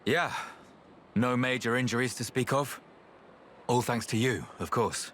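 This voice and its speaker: A man's voice: mid-range voice, unhurried, background noise, lightly acted. A man playing a polite, earnest scholar in a fantasy video game, deferential and formal in his explanations. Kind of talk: video game cutscene acting.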